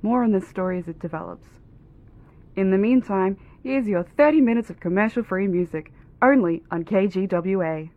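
A woman playing a measured, formal radio newsreader in an audio drama, heard through a radio filter. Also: medium pitch. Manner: measured, formal announcer delivery. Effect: car radio filter. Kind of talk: scripted news bulletin on music radio. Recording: thin, narrow-band radio sound.

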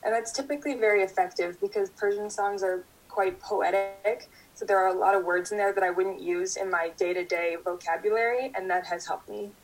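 A young woman from Canada, a guest answering interview questions in a steady, thoughtful way. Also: mid-range voice, brisk, few pauses, low-quality recording. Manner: thoughtful, steady, speaks in full sentences.

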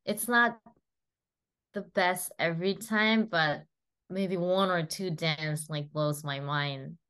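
Guest, female, English, slightly low voice, eager but hesitant and searching for words. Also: slow, a bit bright, clean tone. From South Korea. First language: Korean. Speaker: guest dancer. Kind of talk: casual interview chat.

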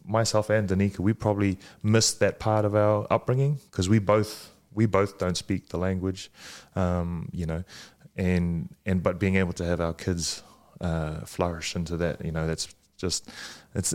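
A man, a guest talking casually with many pauses and restarts. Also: low-pitched, flat delivery, darkish tone. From Fiji and New Zealand. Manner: hesitant, many ums and restarts. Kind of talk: casual conversation.

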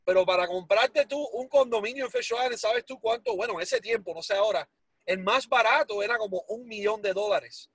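A man in his forties with a Cuban Spanish accent, telling his life story as an interview guest in a lively, self-deprecating way, slipping in English words. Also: high-pitched, a little fast, creaky, slightly rough, dry recording. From Miami, United States. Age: 40s.

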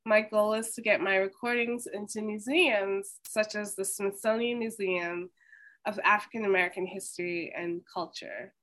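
A woman hosting an oral history interview, reading a slow, measured introduction. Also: mid-range voice, fairly even intonation, a bit bright. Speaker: host.

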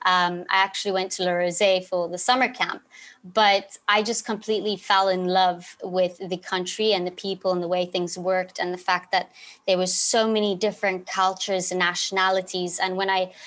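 A woman's voice: fairly low pitch, a bit bright. A woman in her twenties from Zimbabwe, answering interview questions in an earnest, reflective way. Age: 20s. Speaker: podcast guest, alumna.

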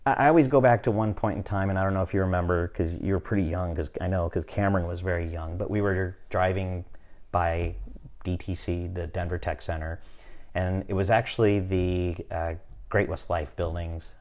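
A man in his fifties hosting a podcast, talking in a relaxed, motivational way and often checking in with "right?". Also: deep voice, normal speed. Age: 50s. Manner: conversational, repeats "right?" often, restarts phrases. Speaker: podcast host. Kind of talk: casual motivational chat.

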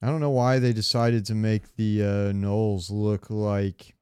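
A man's voice: fairly low pitch, steady tone, a little slow, a little mellow, clean tone, no echo, studio quality. A man co-hosting a casual podcast chat, talking in a loose, rambling way. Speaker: podcast co-host. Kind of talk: casual chat about game voice acting.

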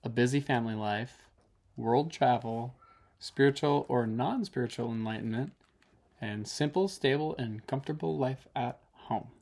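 A man's voice: medium pitch, moderate pace, gravelly, darkish tone. A young adult man co-hosting a casual couple's chat, laid-back, with a dry sense of humor. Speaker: podcast co-host.

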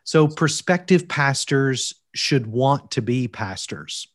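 A man with a Kentucky accent, a guest on a podcast, speaking in an earnest, deliberate way with preacherly emphasis. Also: medium pitch, lively intonation, no room sound, clean studio sound. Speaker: guest, seminary teacher and preacher. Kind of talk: measured podcast interview. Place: recorded from Wales.

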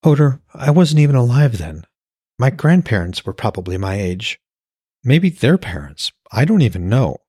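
A gentle, earnest mortal woman in love, played by a male narrator in a fantasy audiobook. The voice is lifted well above the reader's own, with a husky edge and a very dry, close sound. The lines come out frank and searching. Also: mid-range voice, big pitch swings, moderate pace, no echo, voice pushed up. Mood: probing.

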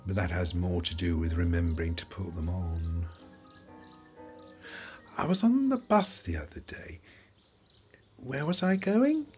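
A man's voice: sits low, big pitch swings, darkish tone, faint music behind. An actor playing a forgetful, wry old man who rambles and questions himself in an audio play monologue. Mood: wry, musing. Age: older. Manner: rambling, halting, self-questioning.

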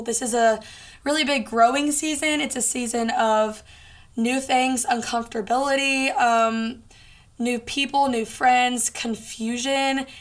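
Hoarse, high-voiced young woman podcasting. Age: late teens. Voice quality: hoarse, raspy. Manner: informal, earnest, encouraging, peppered with "like". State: hoarse from a lot of talking. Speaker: podcast host. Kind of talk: casual solo podcast chat.

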